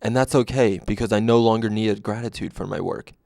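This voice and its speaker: Gravelly male storyteller, close and dry. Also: lowish voice, fairly even intonation, a little fast, darkish tone, dry recording. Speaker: narrator. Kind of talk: scripted first-person story narration.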